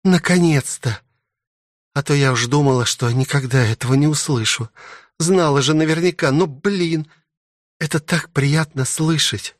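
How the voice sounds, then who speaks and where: A man's voice: on the high side, unhurried, no room sound, clean studio sound, pitched above the performer's own voice, natural voice. A man voicing a fierce, possessive young princess who growls and demands in an audiobook. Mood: relieved. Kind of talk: audiobook character voice.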